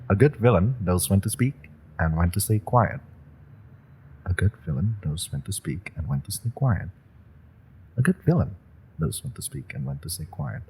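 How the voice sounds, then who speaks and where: A man's voice: deep voice, a little mellow, slightly rough, exaggerated voice, poor audio. A man playing a stammering young rookie villain. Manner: hesitant, stammering, many fillers. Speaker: listener roleplay actor. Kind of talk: audio roleplay.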